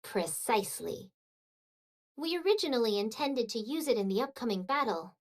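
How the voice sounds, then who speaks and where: High-voiced, courtly royal retainer. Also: female voice, animated. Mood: haughty, assured. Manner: formal, courtly, measured diction. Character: loyal female royal retainer, formal, dry, vengeful. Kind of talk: video game voice acting.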